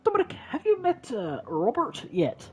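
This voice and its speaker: Casting: over-friendly, bragging game dad acted by a man, hearty delivery with big swings in pitch. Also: huge pitch swings, normal speed, darkish tone, husky, exaggerated voice. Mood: hearty, boastful. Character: hearty, chummy, boastful suburban dad.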